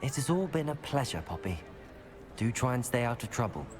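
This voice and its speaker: A man's voice: medium pitch, big pitch swings, a little fast, music in the background, natural voice. A man voicing a polite, supportive teenage schoolboy hero with a British accent in a video game. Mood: friendly.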